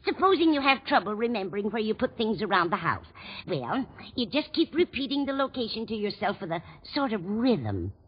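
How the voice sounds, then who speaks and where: A woman's voice: medium pitch, very animated, a little fast, natural voice. An actress playing a sweet, absent-minded older landlady in a 1940s radio comedy, chatty, fond and dithering. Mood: pleased, chanting. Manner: dithering, trails off mid-thought. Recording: dull noisy 1940s radio recording, studio audience. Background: studio audience.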